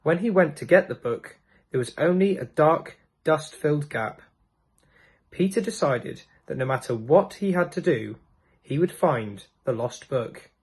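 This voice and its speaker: Lively, higher-voiced man reading a storybook. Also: unhurried, husky. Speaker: storybook reader. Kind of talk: scripted narration of a children's storybook.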